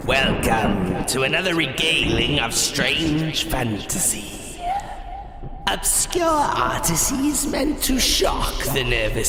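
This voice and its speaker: A man playing an eerie, theatrical host who introduces strange tales in a grandiose, drawn-out manner. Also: mid-range voice. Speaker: show host. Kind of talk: theatrical horror anthology introduction. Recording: echoey long reverb under loud music.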